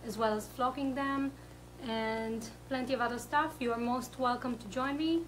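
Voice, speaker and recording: A woman's voice: on the high side, fairly even intonation, moderate pace, slight background noise, rough recording. A young adult woman, an artist, giving a somewhat hesitant scripted invitation from her studio. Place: indoor ceramics studio.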